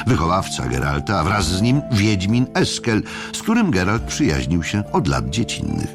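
A man's voice: deep voice, gravelly. A man narrating an audiobook drama from a script, with theatrical, wry storytelling.

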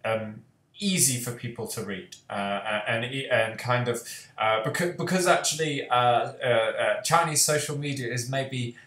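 A man's voice: mid-range voice, a little slow, a bit bright. Young man on headset, roomy echo. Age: young adult. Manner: earnest, many fillers. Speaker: host and author. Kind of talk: panel discussion. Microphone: headset on video call.

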